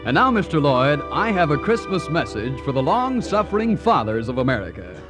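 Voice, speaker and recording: A man's voice: on the high side, big pitch swings, fairly quick, over music. A man working as a radio announcer, delivering jovial, punchy 1940s advertising patter. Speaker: announcer pitching a cigarette sponsor.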